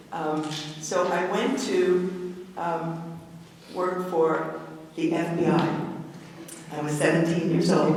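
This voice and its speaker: A woman's voice: lowish voice, slight background noise. A woman in her eighties with a Brooklyn accent, telling stories as a guest speaker and laughing as she goes. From Brooklyn, New York. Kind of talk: storytelling talk. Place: library hall. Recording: echoey hall, distant from the mic.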